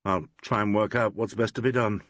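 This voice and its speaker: A man voicing a shaken theatre manager who speaks quietly with clipped authority. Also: lowish voice, steady tone, brisk, no echo, close to an everyday voice.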